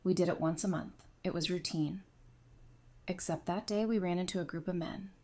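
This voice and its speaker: A woman acting as a composed, resolute survivor in an apocalypse audio drama. Her voice is very mellow and slightly low, and she speaks in a measured, earnest manner. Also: steady tone, lightly acted. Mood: controlled grief.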